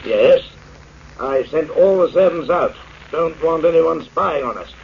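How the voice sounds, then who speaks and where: An actor playing an anxious, secretive older inventor in a 1940s radio drama, fussy, confiding and a little hesitant. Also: male voice, fairly high pitch, lively intonation, measured pace, gravelly. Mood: nervous, confiding. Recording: muffled, hissy 1940s radio recording.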